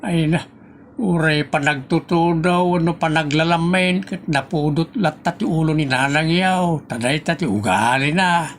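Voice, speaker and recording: A man performs a grumbling, exasperated Ilocano father in a village comedy radio play. The voice is creaky and full of complaint, with some noise and clicking on the recording. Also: fairly high pitch, a little slow. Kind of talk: Ilocano comedy audio drama acting.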